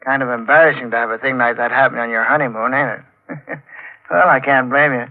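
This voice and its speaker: An actor playing a folksy, unhurried state trooper in a 1940s radio drama style. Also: male voice, medium pitch, fairly even intonation, natural voice. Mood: folksy, reassuring. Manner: folksy, drawn-out questioning. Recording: tinny, narrow-band old radio broadcast.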